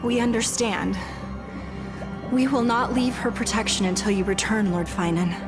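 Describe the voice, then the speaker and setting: A woman acting as an earnest townswoman in a fantasy game. She speaks respectfully and formally in a slightly high voice, with clear music behind her. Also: normal speed, natural voice. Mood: trusting, solemn. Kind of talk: English video game voice acting.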